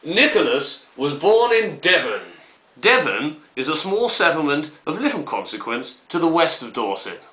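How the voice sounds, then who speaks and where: A man's voice: on the high side, big pitch swings, moderate pace, bright, forceful tone. A man playing a pompous, disdainful, old-fashioned current affairs presenter, announcing formally in a mock vintage broadcast style. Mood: pompous, grave. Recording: echoey, distant from the mic.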